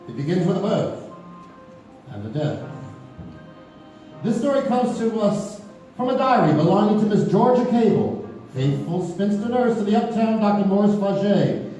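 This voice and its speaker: A man playing a mysterious anthology host, narrating theatrically and deliberately with dramatic pauses. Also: high voice, dark tone. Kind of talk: dramatic scripted radio narration. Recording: echoey theater from far off, faint music.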